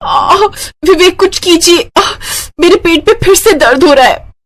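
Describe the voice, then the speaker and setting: A woman's voice: very high-pitched, measured pace, voice pushed up. A woman voicing a young married daughter-in-law, distressed and pleading, in a cartoon moral story. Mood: crying in pain.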